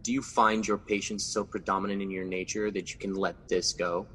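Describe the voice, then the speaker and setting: A man's voice: low-pitched, flat delivery, brisk, fairly bright tone, in a large hall, a little hiss or hum. An actor playing Macbeth, a guilt-ridden scheming king, in a classic radio drama. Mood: commanding. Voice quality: brooding, intense.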